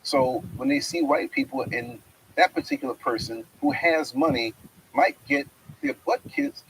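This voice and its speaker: A middle-aged man hosting a reflective spiritual monologue, speaking outdoors in a yard with wide, preacherly swings in pitch. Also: vocal fry, slight background noise, rough recording. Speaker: host.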